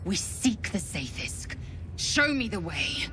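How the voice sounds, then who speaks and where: A woman's voice: medium pitch, very animated, slow pace, music in the background. An actress playing a fierce, proud githyanki warrior woman who speaks curtly and threatens openly. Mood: stern. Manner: curt, contemptuous, threatening.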